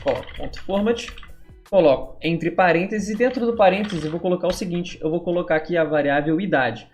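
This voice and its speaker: A Brazilian man in his twenties teaching a casual coding tutorial, chatty and relaxed, checking in often with his viewers. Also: sits high. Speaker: programming instructor.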